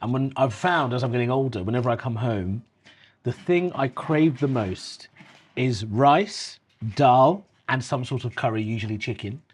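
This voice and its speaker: A man in his thirties hosting a relaxed chat over a meal, talking in a reflective way. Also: mid-range voice, normal speed, slightly rough. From England. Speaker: host.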